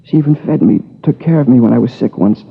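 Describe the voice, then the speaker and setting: Anguished young male killer, gravelly husky voice, halting and defensive, 1950s radio drama, muffled old recording. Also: mid-range voice, animated. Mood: pleading, wounded. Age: 20s. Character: tormented young man confessing to killing his lover.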